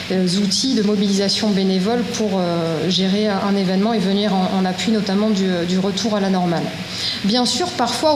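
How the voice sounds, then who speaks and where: Casting: woman, medium pitch, formal conference speaker, halting and hesitant, in a reverberant hall. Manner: hesitant, halting delivery with frequent drawn-out pauses. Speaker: government risk-management official speaking at a conference. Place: conference hall at a technical study day. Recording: echoey reverberant hall with clear background noise.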